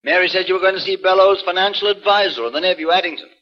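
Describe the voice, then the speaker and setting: A man's voice: sits high, rapid-fire, lightly acted. A man playing a detective's mild, curious confidant in a 1940s radio drama. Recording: muffled, dull 1940s radio recording.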